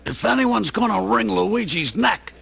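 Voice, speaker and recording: An actor playing a hot-tempered, blunt Brooklyn plumber in a radio play. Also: male voice, sits high, expressive, measured pace, vocal fry, fairly bright tone, husky, exaggerated voice. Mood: irritated. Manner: blunt, exasperated. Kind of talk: audio drama acting.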